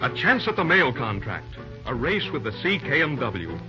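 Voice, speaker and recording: A man's voice: medium pitch, huge pitch swings, normal speed, over loud music. A man delivering dramatic scripted announcements in the style of old-time radio. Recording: muffled old radio recording.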